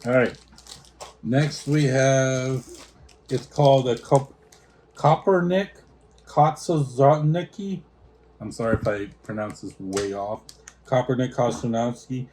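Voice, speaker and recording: A man's voice: mid-range voice, slow, long pauses, a little mellow, slight background noise, low-quality recording. A man hosting a casual snack taste-test, reading out product notes and stumbling over foreign names. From United States.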